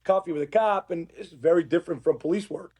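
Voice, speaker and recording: A man with a raspy, hoarse voice chatting casually and recounting his work as a police instructor. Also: on the high side, quick pace, a little mellow. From Uruguay. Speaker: podcast guest, police academy instructor. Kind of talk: casual conversational storytelling.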